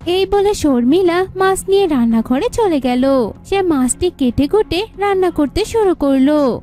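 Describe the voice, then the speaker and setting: A woman's voice: very high-pitched, dark tone. A woman narrating a scripted cartoon folk tale in a storytelling style. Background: music.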